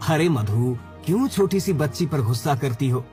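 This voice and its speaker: A man's voice: a little high, animated, moderate pace, mellow, soft background music, close to an everyday voice. A man playing an indulgent father in a cartoon story, fondly and mildly chiding on behalf of his little daughter.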